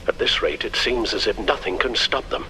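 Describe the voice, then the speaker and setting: An actor playing a loyal, worried butler and confidant in a video game, speaking formally and solemnly with careful wording. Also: male voice, fairly low pitch, a little fast, pushed and bright, slight background noise, rough recording. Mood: grave. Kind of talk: dramatic video game acting.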